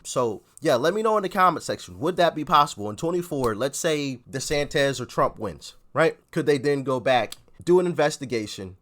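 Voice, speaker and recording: Guy doing a reaction video, rambly and chatty, keeps restarting words, average pitch. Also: expressive, normal speed, few pauses, fairly bright tone. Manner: rambling, repeats words, chuckles. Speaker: commentary channel host. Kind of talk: casual reaction commentary.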